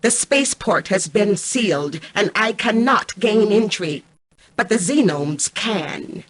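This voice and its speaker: A woman voicing a cold, arrogant mainframe intelligence in a video game, speaking in a formal, measured, commanding way. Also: mid-range voice, animated. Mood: cool, commanding. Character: cold, arrogant female mainframe artificial intelligence, calculating and imperious. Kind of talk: scripted video game voice acting.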